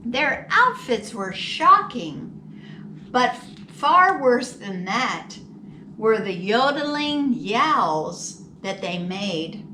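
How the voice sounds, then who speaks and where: A woman reading a picture book aloud to young children in an expressive, dramatic storytelling manner. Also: on the high side, extremely slow. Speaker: library storytime reader. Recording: roomy, distant mic with faint noise.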